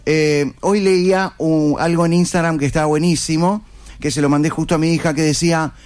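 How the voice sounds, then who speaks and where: A man's voice: a little high, steady tone, brisk, darkish tone. A man with an Argentine accent hosting an informal interview, asking questions and chatting with guests. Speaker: interviewer, host.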